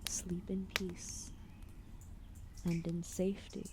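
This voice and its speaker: A woman voicing an ancient, lethargic serpent goddess, protective and calmly menacing, in a formal, soothing, intimate ASMR roleplay with whispered phrases. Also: on the low side, slow. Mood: soothing, drowsy. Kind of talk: ASMR roleplay, intimate soft-spoken acting.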